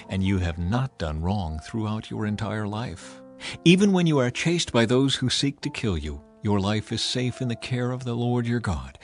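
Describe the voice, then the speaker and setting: A man voicing Abigail, a humble woman earnestly pleading, in a Bible reading with soft music behind. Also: mid-range voice, lightly acted.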